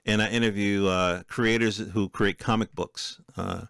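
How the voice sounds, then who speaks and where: A man with a fairly low voice delivers an unscripted podcast monologue. His speech is casual and streetwise, full of colloquial turns, and the sound is very dry with hardly any room to it. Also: fairly even intonation, a little slow, creaky, fairly bright tone, clean tone, no echo. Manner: streetwise, colloquial, peppered with profanity. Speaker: podcast host.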